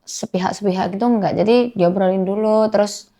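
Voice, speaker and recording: A woman's voice: medium pitch, little pitch movement, brisk, dark tone. A young woman from Madiun with a thick Javanese accent, chatting casually as a podcast guest and dropping in Javanese and English words. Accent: Javanese-accented Indonesian. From Madiun, East Java, Indonesia. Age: young adult. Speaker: guest singer.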